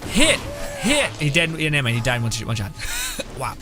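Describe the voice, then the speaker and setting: A man's voice: mid-range voice, wildly swooping pitch, brisk. A young man doing casual, joking gameplay commentary, rambling and sarcastic with some swearing. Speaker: game streamer. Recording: game music behind voice.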